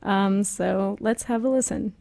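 A woman's voice: medium pitch, a little slow, vocal fry, mellow, dry recording. A woman co-hosting a literary podcast in a casual, conversational style. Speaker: host of a literary reading series. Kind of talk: casual co-hosted podcast chat.

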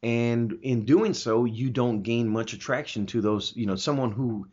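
A man with a rough, husky voice at a medium pitch talks as a show host. He explains his views with emphasis and often asks rhetorical questions. The audio is dull and a little lo-fi. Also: a little slow, darkish tone. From Germany. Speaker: talk show host answering listener questions. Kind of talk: opinionated conversational commentary.

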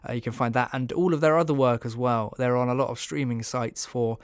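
Male podcast host: casual reviewer, meandering delivery, clear voice, dry recording. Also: mid-range voice, steady tone, fairly quick, dry recording.

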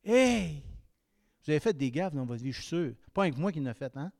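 A man in his fifties with a Québécois French accent, preaching a sermon that swings between raised exhortation and quiet, confiding asides. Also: a little high, big pitch swings, brisk, vocal fry, no echo, clean studio sound. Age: 50s.

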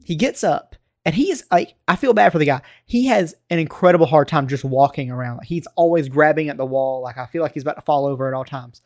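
An American man chatting casually and telling stories in a rambling, animated way. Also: a little high, quick pace, hardly any pauses, no room sound, clean studio sound. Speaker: podcast co-host. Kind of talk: casual chat, storytelling.

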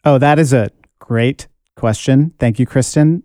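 A man co-hosting a podcast who speaks in a measured, thoughtful way. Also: medium pitch, warm tone, dry recording, clean studio sound. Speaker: podcast co-host. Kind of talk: casual chat.

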